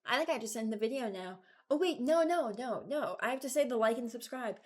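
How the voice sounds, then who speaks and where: A woman's voice: a little high, expressive, brisk. A woman in her early twenties giving casual, unscripted commentary as a gaming video creator, rambling and thinking aloud.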